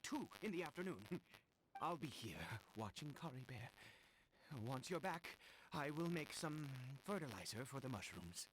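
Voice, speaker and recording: An actor playing an anxious, weary middle-aged father in a video game, speaking haltingly with stammers. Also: fairly high pitch, sing-song, slight background noise. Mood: anxious, instructing. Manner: hesitant, halting, frequent stammers and nervous chuckles. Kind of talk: dramatic video game voice acting.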